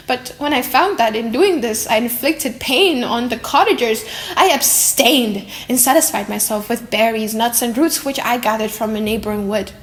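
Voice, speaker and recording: A woman voicing a lonely, tender monster in a dramatic, slightly silly audiobook reading, theatrical and emotive with drawn-out words. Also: on the high side, natural voice. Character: lonely, tender, wretched monster, eager to learn. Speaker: audiobook narrator.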